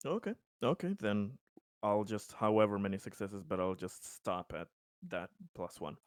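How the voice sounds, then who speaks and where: A man plays a tabletop game, talking casually through his moves. His voice sits a little low, and the recording is dry with no room around it. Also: sing-song, moderate pace, clear, resonant tone, no room sound.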